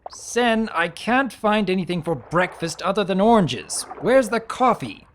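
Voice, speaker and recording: A man's voice: high voice, expressive, measured pace, a bit bright, reverberant, slight background noise, voice pushed up. A man voicing an irritable, pampered noble stallion baron from a cartoon pony story, demanding and haughty. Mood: grumpy, demanding.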